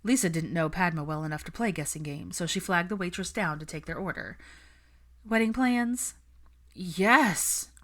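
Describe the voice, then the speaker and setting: A woman's voice: low voice, fast, few pauses. A woman reading a story aloud as a scripted narrator. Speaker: fan fiction narrator.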